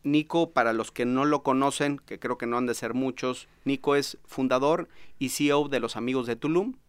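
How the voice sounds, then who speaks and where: A man's voice: medium pitch, normal speed, clear, resonant tone, no room sound. A Mexican man hosting a podcast in a small recording booth, friendly and easygoing, with lots of "este" fillers. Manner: friendly, laughs easily, many fillers like "este". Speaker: podcast host. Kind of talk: podcast interview.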